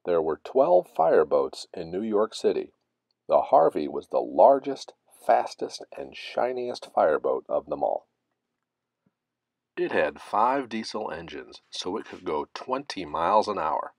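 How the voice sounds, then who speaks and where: A man reading a children's picture book aloud, phrasing it deliberately and stressing key words. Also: deep voice, very animated, creaky, darkish tone, dry recording, clean studio sound. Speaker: storybook reader.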